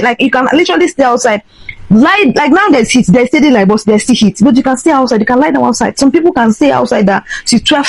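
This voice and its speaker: Fast-talking Nigerian woman ranting. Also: medium pitch, dry recording, rough recording. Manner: emphatic, indignant, switching between English and Pidgin. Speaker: social media vlogger. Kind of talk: impassioned rant to camera.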